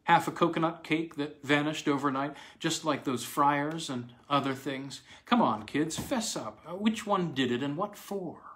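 A man voicing a young stepmother who questions the children, brisk and worried. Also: a little high, a bit bright, voice pushed up. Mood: exasperated.

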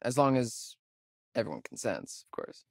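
An actor playing a joking, sardonic young camp counselor in a video game, with an American accent and a laid-back, slangy way of talking. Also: male voice, mid-range voice, huge pitch swings, normal speed, creaky, no echo, clean studio sound. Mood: playful, teasing. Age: young adult. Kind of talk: naturalistic video game acting.

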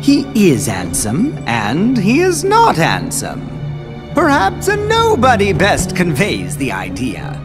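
A man playing a cold, scheming scholar in a dark robe, who speaks in a measured, riddling and superior way in a game cutscene. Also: high voice, huge pitch swings, over music, heavily acted. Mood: condescending. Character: scheming robed male scholar, cold and condescending. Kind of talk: video game cutscene dialogue.

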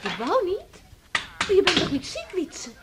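Young girl, very high voice, eager, chiding, noisy 1960s radio drama recording. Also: wildly swooping pitch, unhurried, exaggerated voice. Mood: eager, curious. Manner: eager, gently scolding.

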